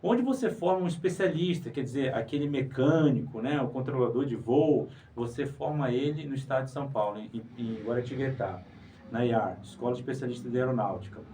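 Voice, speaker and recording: A man's voice: medium pitch, a little fast, mellow. Man explaining in a didactic manner. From Pará, Brazil. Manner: didactic, rhetorical questions, emphatic. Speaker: guest, writer and museologist. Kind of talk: explanatory interview talk. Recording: distant mic with faint noise.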